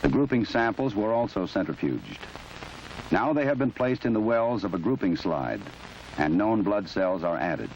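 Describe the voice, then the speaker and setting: A man reading formal, measured, instructional narration for a training film, in a precise scripted documentary style. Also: fairly low pitch, very animated, gravelly, darkish tone. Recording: muffled, noisy old 1970s film soundtrack.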